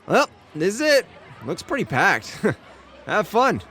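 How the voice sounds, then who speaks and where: Guy doing chatty rideshare driver, higher voice, super animated, comic audio drama, bit of car noise. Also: slow pace, crowd noise, full character voice. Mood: eager, geeky. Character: chatty, nosy rideshare driver who loves horror films. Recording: faint vehicle noise.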